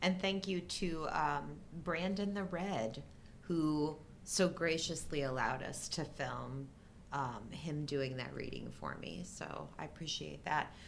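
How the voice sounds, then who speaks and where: A middle-aged woman co-hosting a casual live show, explaining things in a halting, hesitant way. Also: low voice, creaky, slight background noise. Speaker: co-host.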